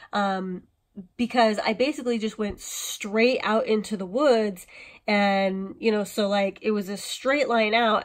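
A woman in her thirties with an American accent, explaining things informally to viewers in a conversational, hedging way. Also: mid-range voice. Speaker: host of a prepping channel.

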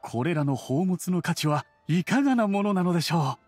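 A man's voice: high voice, animated, normal speed, darkish tone, no room sound, faint music behind. An actor playing an eager merchant man in an anime game, very polite and deferential. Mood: apologetic, businesslike. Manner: obsequious, very polite. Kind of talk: Japanese anime game voice acting.

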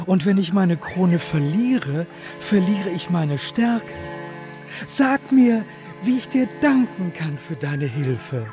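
An actor playing a kindly, grateful little dwarf king in a German fairy-tale audio drama, speaking solemnly and deliberately. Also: medium pitch, lively intonation, over music.

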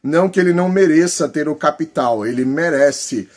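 A man in his forties with a Brazilian accent and a slightly husky voice, giving colloquial, opinionated vlog commentary with emphasis. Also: a little high, normal speed, a little mellow. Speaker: political YouTuber. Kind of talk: vlog commentary, reading posts aloud. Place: small plain room indoors.

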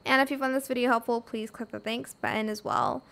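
Female tutorial host, slightly high voice, casual step-by-step narration. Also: fairly even intonation, a little fast, gravelly, a bit bright.

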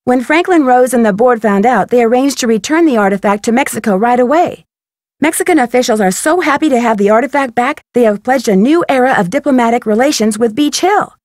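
A curious young female detective from an adventure video game, acted by a woman. Her voice sits fairly high and she speaks articulately, with polite, inquisitive poise. The sound is very dry, with no room around it. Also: expressive, fairly quick, darkish tone, dry recording, natural voice. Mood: bright, reflective. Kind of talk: video game voice acting, scripted narration and dialogue.

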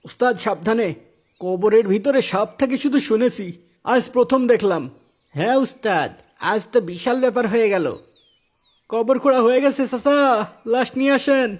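A man voicing an excitable, anxious village gravedigger in cartoon dialogue, exclaiming urgently. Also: extremely high pitch, moderate pace. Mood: uneasy.

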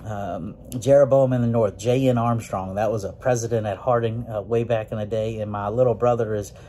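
A man of about forty, a preacher, giving a casual Bible lesson to camera from a small room, with many fillers and rising pitch when he stresses a point. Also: steady tone, brisk, warm tone, slight background noise. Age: 40s. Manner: folksy, many fillers, rises in pitch on emphasis. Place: small room with green walls. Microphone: phone or webcam at close range, looking up.

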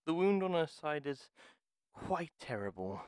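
A man playing a gruff, wary retired pharmacist in a fantasy audio roleplay, speaking in a formal, deliberate, theatrical way. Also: on the high side, sing-song, darkish tone, no echo, studio quality. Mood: grudging, urgent. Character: gruff, reluctant retired male pharmacist, courteous but wary. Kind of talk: dramatic audio roleplay monologue.

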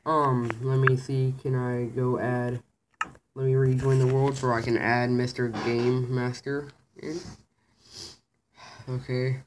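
A teenage boy hosting a casual gaming video, bossy and impatient with his friend, reading game signs aloud haltingly. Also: medium pitch, flat delivery, background noise. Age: teens. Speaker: gaming channel host. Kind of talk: casual let's play commentary. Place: indoor room.

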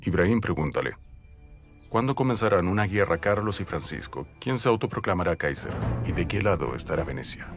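An actor dubbing a young sultan who gives slow, commanding pronouncements. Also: male voice, low voice, animated, music in the background. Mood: stern. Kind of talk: Spanish dub of a historical series.

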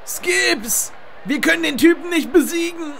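A brash, excitable cartoon blue jay , played by a man, pitched extremely high. He exclaims loudly as a young fighter, drawing out his words at a slower pace. There is faint noise and ambience behind him. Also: gravelly, full character voice. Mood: desperate. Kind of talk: German fan-dub cartoon acting.

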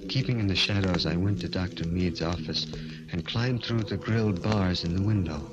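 A man's voice: deep voice, normal speed, muffled recording, natural voice. A man playing a haunted figure who narrates his own story intensely, in 1940s radio thriller style. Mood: resolute. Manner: dramatic, intense first-person narration. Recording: noisy old 1940s radio recording.

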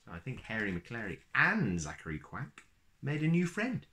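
A man in his thirties reading a picture book aloud to young children in an expressive, deliberate storytelling manner. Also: mid-range voice. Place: small plain room indoors.

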